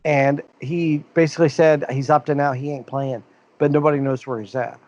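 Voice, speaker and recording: A man's voice: fairly high pitch, steady tone, moderate pace, a little mellow. Rambling middle-aged man, muffled sound. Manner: rambling storyteller, laughs often. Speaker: podcast co-host. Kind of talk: casual chat.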